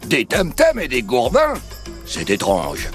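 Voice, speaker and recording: An actor playing a curious, puzzled market customer in a French children's cartoon. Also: male voice, medium pitch, wildly swooping pitch, slow, faint music behind.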